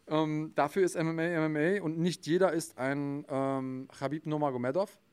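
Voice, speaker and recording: A man co-hosts a podcast and explains his view in long, casual turns. He thinks aloud and hesitates with fillers. His voice is a bit higher than most men's. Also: little pitch movement, moderate pace, darkish tone, smooth. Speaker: podcast co-host. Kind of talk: casual chat.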